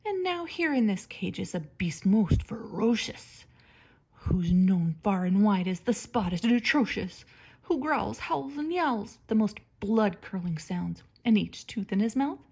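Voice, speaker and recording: A woman reading a children's story aloud like a teacher, with expressive, theatrical emphasis and a breathy edge to her voice. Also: mid-range voice, takes it slowly. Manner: dramatic, theatrical emphasis on words.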